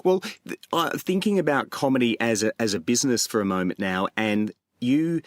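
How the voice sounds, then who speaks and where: Male host, mid-pitched, friendly and stumbly, dry studio sound. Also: animated, brisk, no room sound. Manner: friendly, stumbling restarts, frequent backchannels. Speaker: podcast host. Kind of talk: interviewer asking questions.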